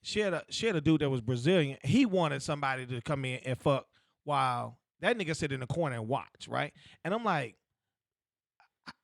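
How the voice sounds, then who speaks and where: Middle-aged male co-host, rambling and halting, dry close sound. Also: medium pitch, lively intonation, creaky, dry recording. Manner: halting, rambling storyteller with many restarts. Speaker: podcast co-host. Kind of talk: casual crude group chat.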